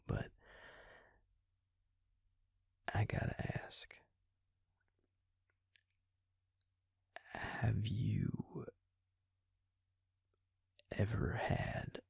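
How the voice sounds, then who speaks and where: Guy doing a soft southern vampire for audio rp, super croaky and breathy, gentle n concerned, talks right up close. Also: dry recording, heavily acted. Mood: concerned, probing. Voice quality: breathy, husky, gravelly low voice. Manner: hushed, halting, thoughtful, intimate delivery. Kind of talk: intimate audio roleplay addressed to the listener. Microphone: close mic, dry sound.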